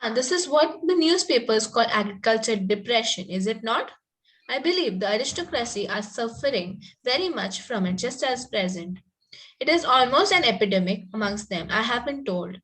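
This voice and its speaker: Woman as a spirited young Victorian country girl, high-voiced. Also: lively intonation, normal speed, a bit bright, low-quality recording. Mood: barbed sweetness. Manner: polite, pointed, stumbles over lines. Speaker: table-read participant. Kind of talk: amateur reading of a Victorian comedy play.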